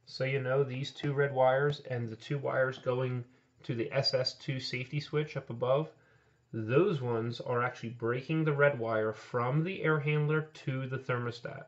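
Male HVAC tech host, mid-pitched, plain how-to delivery, dull point-of-view camera audio. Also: steady tone, measured pace. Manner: plain, explanatory, pointing things out. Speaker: HVAC technician and channel host. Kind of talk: hands-on instructional walkthrough. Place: indoor utility closet, later outdoors by a heat pump. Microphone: camera-mounted mic, speaker filming himself point-of-view.

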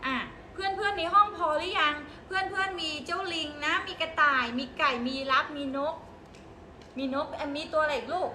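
High-voiced coaxing preschool teacher, woman. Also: moderate pace, sharp tone, roomy sound, slight background noise. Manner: patient, coaxing questions to small children. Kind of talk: guiding young children to make up a story. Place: classroom.

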